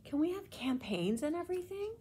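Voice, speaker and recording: An eager, conscientious young schoolgirl, acted by a woman reader speaks earnestly from a storybook. The voice is lifted higher, over faint background noise. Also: big pitch swings, brisk, mellow.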